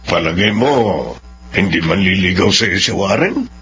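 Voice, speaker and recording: An actor playing a fond, reasonable middle-aged father in a Filipino radio drama, gently questioning in a paternal way. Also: low-pitched, animated, unhurried, heavily acted. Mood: probing, fond. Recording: dull lo-fi audio with background music.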